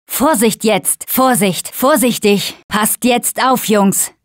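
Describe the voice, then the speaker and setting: A woman's voice: a little high, slow pace, dry recording, studio quality. An actress voicing a tough, wry young female survivor of a zombie apocalypse in short video game callouts. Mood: wary.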